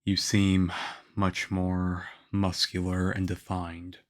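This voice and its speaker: Male actor as aloof young swordsman mentor for ASMR roleplay: stern, flat, unhurried delivery, measured and harsh. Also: deep voice. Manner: harsh, measured, deadpan, with grudging praise. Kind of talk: ASMR roleplay acting addressed to the listener.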